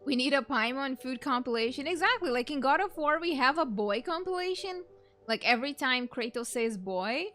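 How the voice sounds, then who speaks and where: A woman game streamer chats casually over her playthrough. Her voice is high, and faint music plays behind her commentary. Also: animated, normal speed, sharp tone. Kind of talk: casual let's play commentary.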